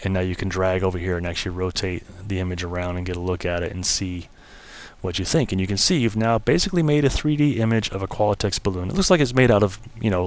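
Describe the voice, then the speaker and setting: A man giving a relaxed, step-by-step screen-recorded software tutorial, slightly losing his voice and clearing his throat now and then. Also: lowish voice. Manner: relaxed, step-by-step explaining with casual fillers.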